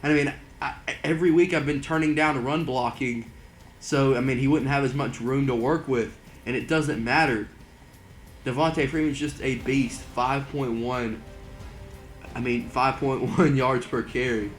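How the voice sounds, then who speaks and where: A young man doing excited mock broadcast commentary over a football video game, saying he is losing his voice. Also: mid-range voice, normal speed, soft background music. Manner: excitable play-by-play, rising to near-shouts on big plays. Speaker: gaming channel host doing play-by-play.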